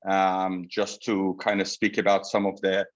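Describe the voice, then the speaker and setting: Low-voiced man lecturing. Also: completely flat delivery. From Iran. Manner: polite, measured, addresses audience directly. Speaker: presenter, psychotherapy researcher. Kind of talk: webinar lecture. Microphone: video call. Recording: slightly dull video call audio.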